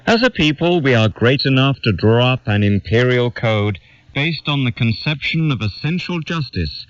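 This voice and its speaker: Male narrator, medium pitch, measured declamatory essay reading, very dry sound. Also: fairly bright tone, no room sound, dull audio. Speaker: reader of an essay. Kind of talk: scripted narration, reading a literary essay aloud.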